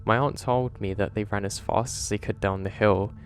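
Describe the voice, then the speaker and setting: A man calmly narrating listener-submitted horror stories in a measured, scripted delivery. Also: on the low side, a little hiss or hum. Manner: calm, measured storytelling delivery.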